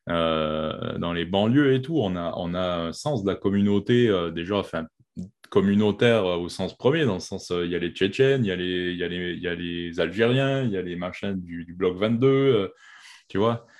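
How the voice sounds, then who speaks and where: A man who grew up in Quebec, chatting in a relaxed, slangy way with frequent verbal tics. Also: sits low, moderate pace. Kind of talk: casual conversation.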